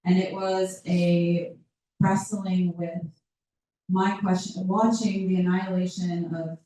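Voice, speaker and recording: Soft woman, far off in an echoey hall. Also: fairly low pitch, flat delivery, takes it slowly. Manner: reflective, searching for words with restarts. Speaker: author in conversation. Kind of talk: casual talk at a live book event. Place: event room with audience. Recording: roomy hall picked up from far off.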